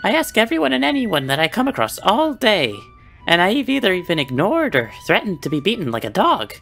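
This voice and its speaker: Whimsical beggar man, grandiloquent, animated pitch swings, faint music behind, cartoon. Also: fairly quick, creaky, heavily acted. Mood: sly, theatrical. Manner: theatrical, grandiloquent. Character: sly, theatrical, whimsical male roadside beggar. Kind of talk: animated film character acting.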